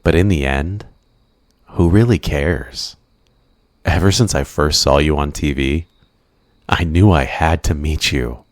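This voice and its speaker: A man playing an obsessive, flirtatious supervillain in an ASMR roleplay, drawing out teasing, softly menacing endearments in a possessive way. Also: very deep voice, measured pace, long pauses, no echo, studio quality. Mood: gloating fascination.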